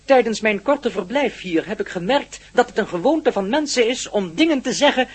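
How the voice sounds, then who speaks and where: A man's voice: very high voice, animated, normal speed, slightly rough, heavily acted. A man playing a polite, candid alien in a radio play, speaking in a formal, matter-of-fact way. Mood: earnest, candid. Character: polite, candid alien being observing humankind.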